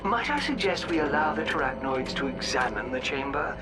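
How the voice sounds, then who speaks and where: A man's voice: medium pitch, expressive, fairly quick, over music, lightly acted. A man playing a prim, fussy robot butler.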